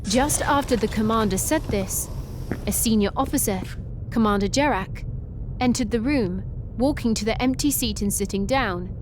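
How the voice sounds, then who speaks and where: A woman narrating a science-fiction story in an even, scripted way. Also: on the high side, moderate pace, noisy background.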